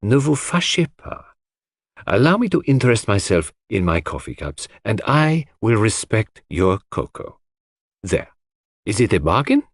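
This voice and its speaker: A man's voice: on the low side, sing-song, unhurried, no echo, studio quality. A man voicing an elderly, quaint and self-assured foreign detective, in audiobook style. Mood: playful, coaxing. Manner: theatrical, flippant turning grave. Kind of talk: audiobook character acting.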